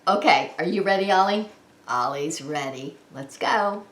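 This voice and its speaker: Animated preschool teacher, woman, roomy echo. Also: lowish voice, measured pace. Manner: animated, sing-song, asks and answers questions to young children. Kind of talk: children's read-aloud story time. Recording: roomy, echoing indoor sound.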